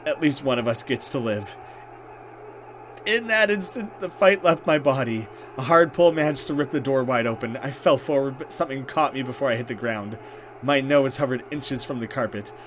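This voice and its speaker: A man's voice: medium pitch, slight background noise. A man hosting a let's play, reading horror game narration dramatically and reacting with casual commentary.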